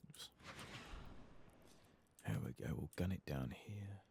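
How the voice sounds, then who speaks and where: A soft-spoken man doing ASMR-style game commentary, muttering casually to himself as he thinks aloud and sometimes dropping into a whisper. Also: rumbling low, animated, slow, gravelly, slightly rough. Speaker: gaming video host.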